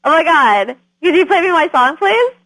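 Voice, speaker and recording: A starstruck fan phoning a game radio station. Her voice is high, gushing and pleading, full of slangy excitement, heard as a phone-in call. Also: moderate pace, exaggerated voice, low-quality recording. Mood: ecstatic. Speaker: radio caller.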